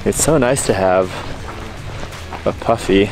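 A man on a long-distance hike, talking to the camera outdoors about the trail, the weather and how his gear is holding up, in a relaxed, informative way. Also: lowish voice, slow. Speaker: thru-hiker. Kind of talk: hiking vlog narration. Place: outdoors on a mountain trail in rain and wind. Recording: music playing under the voice. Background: background music.

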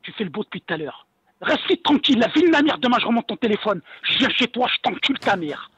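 A man's voice: very high voice, sing-song, fast talker, pushed and bright, slightly rough, no room sound. Furious man threatening over a dull phone line. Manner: aggressive, threatening, cursing. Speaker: seller pranked on the phone. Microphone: heard over a phone call.

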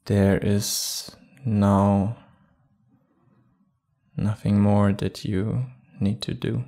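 A man guiding a meditation calmly and without hurry, leaving long pauses between short phrases. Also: on the low side, creaky. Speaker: meditation guide. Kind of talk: guided meditation.

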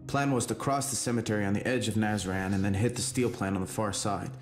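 A man's voice: on the low side, steady tone, fast talker, music in the background, natural voice. A man playing a hardened special forces soldier in a game cutscene, clipped and guarded. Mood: terse, firm. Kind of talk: cinematic dramatic dialogue.

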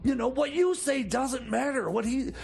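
Radio comedy role: excitable male grifter convict, very high and gravelly, halting and repetitive. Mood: rambling, earnest. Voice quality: high-pitched, creaky. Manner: stammering, halting, repeats himself. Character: Jeb, stammering male grifter jailbird, excitable and rambling.

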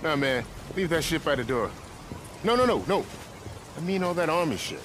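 An actor playing a cocky, excitable army lieutenant who builds weapons, slangy and profane, with an American accent in a video game. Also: male voice, on the high side, normal speed, background noise, natural voice. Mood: busy, focused. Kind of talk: video game cutscene acting.

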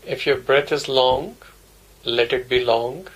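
A man's voice: mid-range voice, takes it slowly, slightly rough, rough recording. A man teaching a guided meditation, giving simple instructions in short, repeated phrases. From India.

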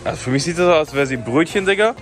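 A man's voice: on the high side, expressive, racing. A young man chatting casually and colloquially to a camera. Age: young adult. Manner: slangy, colloquial. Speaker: vlog participant. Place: supermarket and outside it. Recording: music running under the voice.

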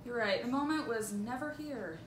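Higher-voiced young woman reading lines, echoey. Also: moderate pace. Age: young adult. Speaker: student scriptwriter and amateur reader. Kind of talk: dramatic script read-through, playing a daughter. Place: home room indoors. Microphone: camera a few feet away. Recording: distant echoey room mic, clear noise.

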